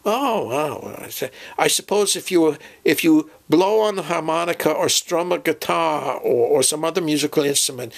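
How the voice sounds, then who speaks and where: A man voicing a fussy, forgetful professor in a comedy sketch, halting, muddled and repeating himself. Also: on the high side, creaky. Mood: fussy, exasperated. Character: doddering, fussy, forgetful male professor planning an expedition. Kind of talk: improvised comic dialogue sketch.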